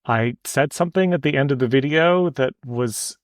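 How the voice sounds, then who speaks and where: A man's voice: mid-range voice, moderate pace, no echo, studio quality. A man from Canada with an American Denver accent, a podcast guest chatting casually and self-deprecatingly about his work. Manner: self-deprecating, laughing often. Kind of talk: casual interview chat. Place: home, evening, remote call.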